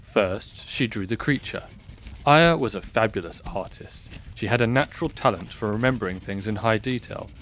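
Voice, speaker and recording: A man narrating a scripted fantasy audio drama in a measured, expressive storybook style. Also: lowish voice, a little hiss or hum. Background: music.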